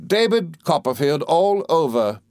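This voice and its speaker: Man voicing an imperious older English aunt, pitched up. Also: animated, a little slow, a little mellow, no echo, clean studio sound, natural voice. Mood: scornful. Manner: emphatic, commanding, indignant. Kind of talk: audiobook character voice.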